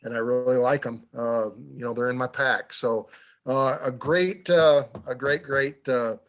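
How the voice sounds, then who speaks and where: A man's voice: medium pitch, steady tone, measured pace, fairly bright tone, poor audio. Folksy man hosting a hunting radio show. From Iowa. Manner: folksy, filler-heavy delivery. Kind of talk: casual radio talk with an ad read.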